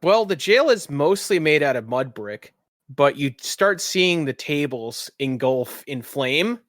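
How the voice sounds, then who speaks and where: Higher-voiced man running a tabletop game. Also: animated, unhurried, a bit bright, no echo, studio quality. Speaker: game master. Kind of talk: improvised narration of a tabletop roleplaying game.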